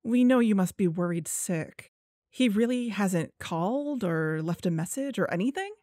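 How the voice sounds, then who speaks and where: A woman's voice: mid-range voice, lively intonation, normal speed, warm tone, dry recording, clean studio sound, close to an everyday voice. An actress playing an earnest, persuasive young woman who hosts an investigative podcast in an audio drama. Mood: sympathetic, coaxing. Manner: earnest, coaxing. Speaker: podcast host. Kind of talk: fiction podcast narration and phone dialogue.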